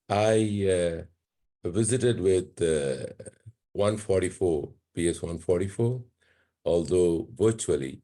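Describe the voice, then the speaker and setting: A man with a very deep, dark voice speaks as a council member over a video call. He is hesitant and measured, with many small pauses. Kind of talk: meeting report.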